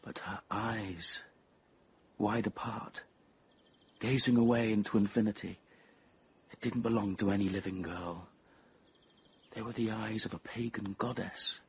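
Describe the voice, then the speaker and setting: An actor playing a brooding, obsessive young man who narrates his confession in measured, intense tones, at times near a whisper. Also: on the low side, steady tone, slight background noise. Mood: entranced. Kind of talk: radio drama first-person narration.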